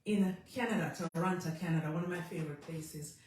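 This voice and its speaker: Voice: mid-range voice, fast, slight background noise. A radio host and DJ running an interview, hyped and repetitive, stumbling and laughing along. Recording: very echoey, distant mic, music behind.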